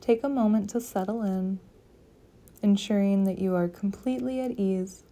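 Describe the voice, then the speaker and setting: A woman leading a guided meditation, giving calm, gentle, soothing instructions with long pauses between phrases. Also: medium pitch. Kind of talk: guided yoga nidra meditation.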